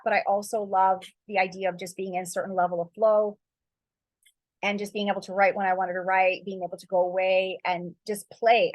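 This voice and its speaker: A woman's voice: mid-range voice, dry recording, low-quality recording. Rambling, encouraging woman writing coach. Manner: loose, rambling, heavy with "like" and "you know"; encouraging, spiritual wording. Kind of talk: casual spoken writing advice.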